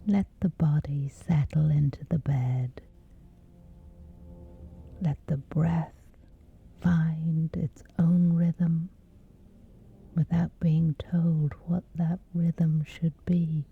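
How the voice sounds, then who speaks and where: A woman softly guiding a scripted sleep meditation in a breathy, gentle, soothing hush with long pauses. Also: low-pitched, fairly even intonation, narrow, tinny audio. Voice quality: breathy, whispery soft.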